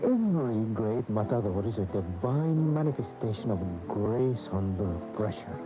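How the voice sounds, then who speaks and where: Lively-voiced aging bullring companion. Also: male voice, fairly low pitch, moderate pace. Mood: reverent, reflective. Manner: reflective, theatrical. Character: aging, admiring, philosophical old bullfight companion of matador. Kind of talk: radio drama monologue and dialogue. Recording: muffled AM radio broadcast, music, noise.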